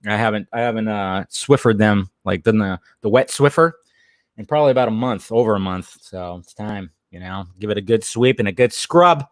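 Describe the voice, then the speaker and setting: Hoarse, chatty man live streaming from home. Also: fairly low pitch, normal speed, dry recording, studio quality. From Southern California. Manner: rambling, chatty, reads chat aloud, laughs often. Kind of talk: casual live stream chat. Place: at home in his apartment.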